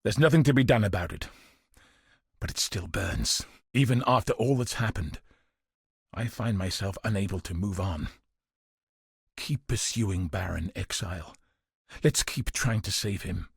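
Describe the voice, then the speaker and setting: An actor playing a weary, bitter but steadfast guard commander in a video game, speaking gravely and earnestly with a husky, raspy voice. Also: male voice, on the low side, a little slow, pauses often, no room sound, studio quality, full character voice. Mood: determined, hopeful. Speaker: game character voice. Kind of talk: dramatic video game voice acting.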